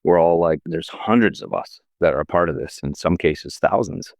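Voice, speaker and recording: A very low-voiced man from Canada in his forties, a guest on a podcast. He talks in animated, quick bursts and often restarts his sentences. The sound is dry and close to the mic. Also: no room sound. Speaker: actor and filmmaker guest. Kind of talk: casual interview chat.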